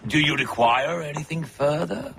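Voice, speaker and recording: An actor playing a nervous, servile innkeeper in a video game, hesitant and stammering. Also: male voice, a little high, lively intonation, normal speed, vocal fry, background noise. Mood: flustered. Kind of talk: video game cutscene acting.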